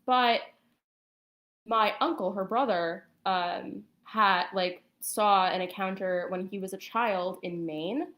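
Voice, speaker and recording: A woman telling a personal story in a casual, conversational and somewhat halting way. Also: mid-range voice, fairly bright tone.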